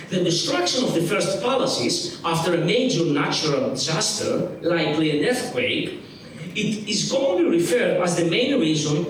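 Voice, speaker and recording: A man's voice: sits high, slight background noise. A man from Greece with a Greek accent, reading an academic conference paper in a formal way that has frequent restarts and repeated words. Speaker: lecturer. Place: large museum auditorium. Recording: distant, echoey auditorium sound.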